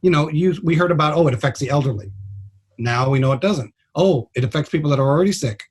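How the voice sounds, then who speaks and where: A man's voice: a little high, fast talker, slightly rough. A man speaking as a guest doctor in a radio interview, explaining things in a plain-spoken, blunt and informal way. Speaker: trauma surgeon, guest doctor.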